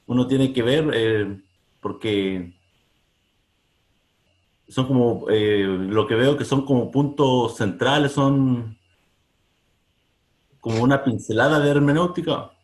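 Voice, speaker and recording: A man, a student in a class, speaks slowly and haltingly, searching for words between fillers. His voice sits at a middling pitch and sounds roomy and echoey.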